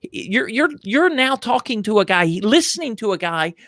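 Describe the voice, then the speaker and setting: High-voiced animated man. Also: normal speed, dry recording, studio quality. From United States. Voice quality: higher-pitched male voice. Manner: animated, emphatic, frequent restarts. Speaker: podcast guest. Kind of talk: enthusiastic interview storytelling.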